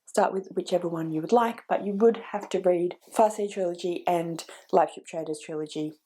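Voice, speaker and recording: A woman talks casually about books she's recommending, her voice stuffy and slightly croaky from a cold. Her tone is mellow and warm rather than bright. She rambles a bit, doubling back and correcting herself mid-sentence. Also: fairly low pitch, fairly quick, slight background noise. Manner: chatty and meandering, with restarts and self-corrections. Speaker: book vlogger. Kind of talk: casual book recommendations to camera.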